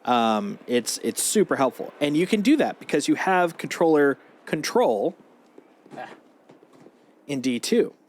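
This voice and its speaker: A man's voice: fairly high pitch, sing-song, measured pace, long pauses, darkish tone. A man chatting casually and in a rambling way as he live streams a video game. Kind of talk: casual gaming stream chat.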